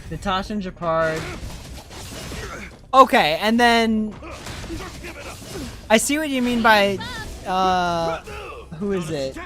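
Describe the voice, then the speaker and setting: A game streamer doing casual, excitable live commentary in slangy talk peppered with bro and dude. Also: mid-range voice, slow, pauses often, music in the background.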